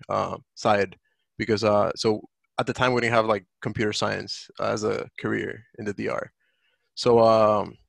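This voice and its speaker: A young man from the Dominican Republic answers casually as a student panel guest, with lots of "um" and "like". His low voice has a gravelly fry. The sound is dry and close. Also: fairly bright tone, no echo. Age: young adult. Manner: casual, many fillers like um and like. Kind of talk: online panel Q&A.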